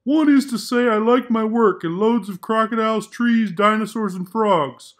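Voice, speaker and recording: Man voicing a contented, very high-voiced crayon. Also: normal speed, lightly acted. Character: contented, agreeable green crayon.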